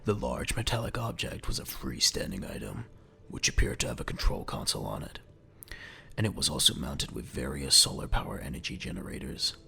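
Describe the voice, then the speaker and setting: A man's voice: a bass voice, big pitch swings, brisk, gravelly, bright, forceful tone. A man narrating an audio drama from a script in a dramatic storytelling style.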